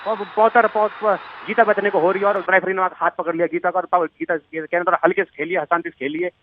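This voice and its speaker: Higher-pitched man, dull phone line. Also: level tone, slight background noise. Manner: halting, repetitive, stumbling. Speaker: amateur wrestling commentator. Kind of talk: improvised sports commentary. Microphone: telephone.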